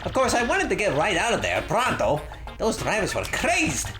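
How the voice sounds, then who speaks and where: A podcaster voicing a boastful, excitable video game hero who recounts tall adventure tales in slangy, dramatic storytelling, in a roomy space. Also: medium pitch, moderate pace. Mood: excited, bragging. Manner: bragging, slangy storytelling, often addressing listener as bro. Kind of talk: dramatic read-aloud of video game dialogue. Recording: roomy recording with loud music.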